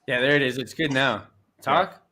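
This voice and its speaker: Bright-voiced rambling Canadian man. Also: mid-range voice, moderate pace. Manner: rambling, restarts often, laughs, swears casually. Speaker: sports show host. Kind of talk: casual sports chat with a co-host.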